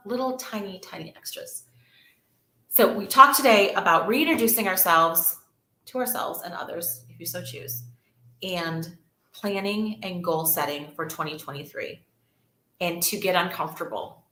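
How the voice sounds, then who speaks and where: Chatty woman, bright echoey voice. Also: lowish voice. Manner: chatty, upbeat, repeats words for emphasis. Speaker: real estate agent, livestream host. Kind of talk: casual livestream talk to viewers.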